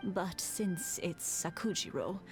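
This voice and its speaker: Middle-aged anime game mother, unhurried, wistful, hesitant, music behind. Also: lowish voice, expressive. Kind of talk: video game voice acting. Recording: game dialogue over music.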